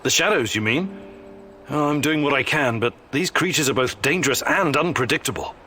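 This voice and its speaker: A man's voice: medium pitch, lively intonation, fairly quick, sharp tone, slight background noise. An actor playing a serious, weary male mission leader in a video game, with a dry, earnest, terse manner. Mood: determined. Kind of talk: video game character acting.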